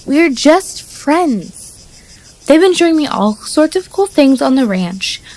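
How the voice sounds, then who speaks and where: Woman playing a high-voiced, smitten, awkward runaway. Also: big pitch swings, slow, darkish tone, exaggerated voice, low-quality recording. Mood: defensive, dreamy. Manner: gushing, flustered. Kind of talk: audio drama acting. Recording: faint music behind.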